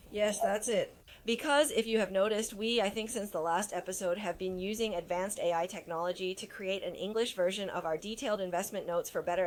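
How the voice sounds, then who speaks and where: Woman, talk show host, lowish voice, teasing chatty manner, finance discussion.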